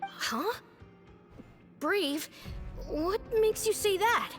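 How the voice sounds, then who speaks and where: Young boy patient, whiny and restless, high lively read voiced by a woman. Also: drawn-out words, soft background music, exaggerated voice. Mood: nervous. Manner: whiny, complaining.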